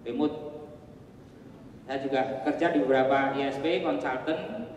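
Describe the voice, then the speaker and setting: A man with a Javanese accent giving a relaxed conference talk, with joking asides and plenty of fillers. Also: medium pitch, a little slow, highs cut off, a little hiss or hum. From Java. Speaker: conference presenter. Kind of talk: casual technical presentation. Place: large hall. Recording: echoey hall, voice from far off.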